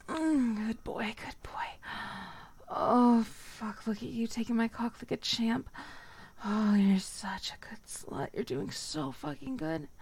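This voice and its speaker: Whispering woman, slow and husky. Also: a little high, animated, dry recording. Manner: dominant and teasing, soft whispers between moans. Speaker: audio roleplay performer. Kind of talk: whispered intimate audio roleplay.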